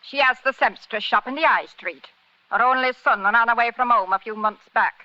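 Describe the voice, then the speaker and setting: An actress playing a brisk, sharp-tongued housekeeper at a village inn in a 1940s radio drama. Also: female voice, a little high. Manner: scolding, disapproving. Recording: dull lo-fi 1940s radio recording.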